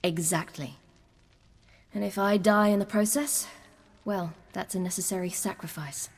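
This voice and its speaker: An actress playing a young hunted woman warrior in a video game, earnest, wry and defiant, telling things in a measured way. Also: medium pitch, big-room sound, slight background noise, close to an everyday voice. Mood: bitter, wry. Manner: measured, earnest storytelling with dry wit. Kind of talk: video game dialogue acting.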